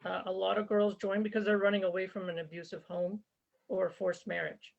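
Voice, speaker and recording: A woman's voice: mid-range voice, little pitch movement, a little fast, creaky. Earnest woman on a dull recording. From born in Zambia, raised in Canada. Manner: earnest, conversational, with fillers and restarts. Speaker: interview guest, former volunteer fighter. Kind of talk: casual spoken interview.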